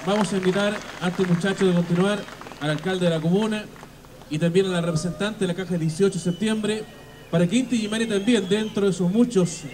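A man works the stage as a festival emcee, his voice pitched high and pushed through a PA system. He stretches his phrases out for emphasis. A noisy crowd and the hum of the open air sit behind him. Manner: emphatic, drawn-out announcer delivery with repeated fillers. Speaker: event MC. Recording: live PA sound with loud crowd.

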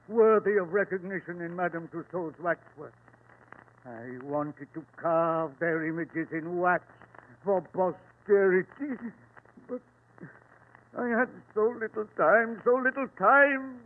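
An actor playing a proud, sick old murderous wax artist, grandiose and self-pitying, in 1940s radio style. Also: male voice, sits high. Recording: muffled old-time radio recording.

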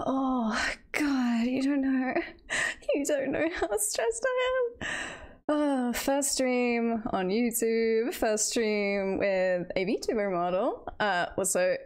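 A woman's voice: fairly high pitch, darkish tone. A woman chatting casually as she hosts a livestream, with a nervous, giggly manner, speaking close to a streaming mic. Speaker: streamer hosting a first livestream.

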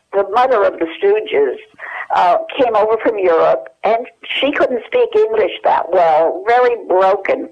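An older woman telling anecdotes as an interview guest, in a halting, conversational way over a telephone. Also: a little high. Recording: dull telephone line.

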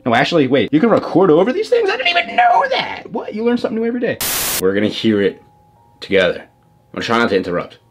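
Man in his 20s, casual slangy vlogger, excitable, animated intonation, bedroom camera mic, faint noise. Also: fairly high pitch, quick pace. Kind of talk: casual reaction vlog. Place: small bedroom with desk.

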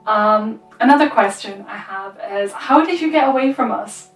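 A young woman vlogger tells a story in a casual, conversational way. Her medium-pitched voice sits away from the microphone in a roomy, echoey space. Music plays underneath. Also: normal speed, far from the mic. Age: 20s. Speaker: vlog host telling a roleplay story, interviewing her friend. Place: small room at home with costumes.

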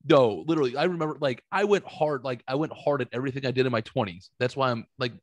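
A man in his late thirties hosting a podcast from a home studio, talking bluntly with plenty of swearing into a boom-arm mic. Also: quick pace, no echo. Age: 30s. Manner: blunt and profane, punchy retorts. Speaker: podcast host. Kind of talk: casual podcast chat. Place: home studio room, indoors. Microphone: studio mic on boom arm, headphones.

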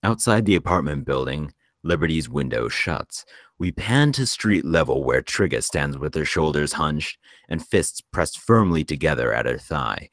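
Male narrator for script directions, extremely low voice, close dry recording. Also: lively intonation, moderate pace, no room sound. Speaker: screenplay narrator at a table read.

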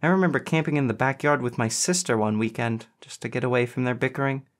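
A man voicing an earnest, expressive and caring teenage girl in audiobook dialogue. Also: mid-range voice, fast, pitched above the performer's own voice, close to an everyday voice.

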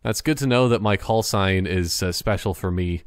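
A man with a fairly low voice gives casual gaming commentary. He rambles and jokes, often halting mid-thought. The sound is dry, with little room echo. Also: clear, resonant tone. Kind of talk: casual joking gameplay commentary.

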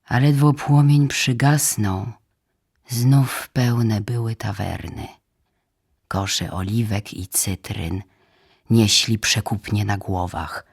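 A woman with a low, husky, breathy voice reads poetry in a solemn, dramatic way, phrasing deliberately in short measured lines. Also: steady tone, a little mellow. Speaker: poetry reader. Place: radio theatre studio.

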